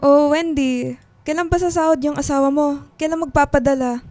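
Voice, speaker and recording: A woman's voice: sits high, fairly even intonation, normal speed, darkish tone, faint music behind, natural voice. A woman playing a nagging, demanding middle-aged mother who whines and complains in a radio drama. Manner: whiny, complaining.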